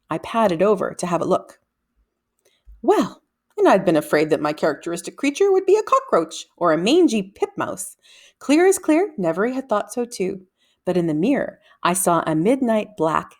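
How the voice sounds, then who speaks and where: A woman reading a children's book aloud as an expressive, dramatic storyteller. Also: mid-range voice. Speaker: read-aloud reader.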